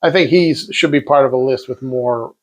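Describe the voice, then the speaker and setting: Man, gravelly voice, mid pitch, easygoing co-host, casual chat. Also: normal speed. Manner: easygoing, short agreeing replies. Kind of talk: casual post-game chat.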